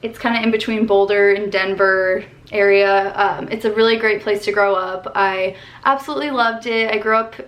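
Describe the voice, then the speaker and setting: A young woman in her twenties from Colorado answers questions in a relaxed, upbeat vlog chat, sprinkling in "like" and "um". She sits in her bedroom, speaking to a camera at desk distance. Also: mid-range voice. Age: 20s. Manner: chatty, upbeat, lots of "like" and "um". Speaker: vlogger. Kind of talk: casual Q&A vlog.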